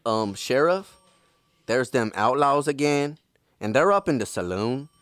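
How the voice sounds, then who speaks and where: A man's voice: mid-range voice, lively intonation, measured pace, fairly bright tone, dry recording. An actor playing a simple, hesitant townsman in an audio play, with a Southern US accent. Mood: plain, wary. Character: simple, folksy male townsman bringing the deputy bad news.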